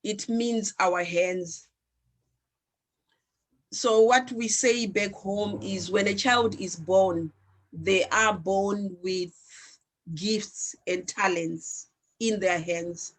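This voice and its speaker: A woman from Zimbabwe whose first language is Shona, speaking on a panel in an earnest, reflective way with pauses and restarts. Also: mid-range voice, fairly even intonation, low-quality recording. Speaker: panelist, founder of an arts organization. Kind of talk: panel discussion.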